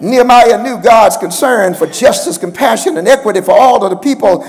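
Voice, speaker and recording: An older man with a gravelly voice, preaching an impassioned, emphatic sermon and calling on his congregation. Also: high voice, fairly quick, darkish tone. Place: large church sanctuary, at a pulpit. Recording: church hall with long reverb.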